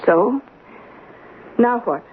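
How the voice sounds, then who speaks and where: Worried wife, radio drama. Hesitant, widely swinging intonation, vintage audio with faint noise. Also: female voice, fairly high pitch, animated, drawn-out words. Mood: resigned, knowing. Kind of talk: old-time radio drama acting. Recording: phone-like, noisy old radio recording.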